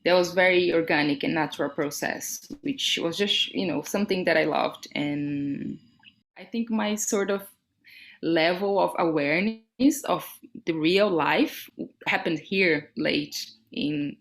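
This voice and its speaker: Brazilian woman, slightly low-pitched, conversational. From Brazil, born in Salvador and raised in São Paulo. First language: Portuguese. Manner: halting, searching for words with repetitions. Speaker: dance artist being interviewed. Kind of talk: reflective conversational interview about own life.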